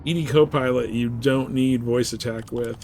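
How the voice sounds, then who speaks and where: A male streamer talks off the cuff to his viewers while he plays. His phrasing is halting, with restarts mid-thought. Faint noise sits behind his voice. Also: mid-range voice, fairly even intonation, a little mellow. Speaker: live streamer playing a space trading game. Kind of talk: casual chat with viewers while gaming.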